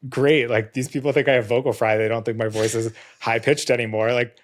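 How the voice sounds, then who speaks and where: A man hosting a podcast in casual conversation, his voice slightly hoarse with a touch of vocal fry, earnest and reflective. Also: medium pitch, little pitch movement, a little fast, a little hiss or hum. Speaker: podcast host.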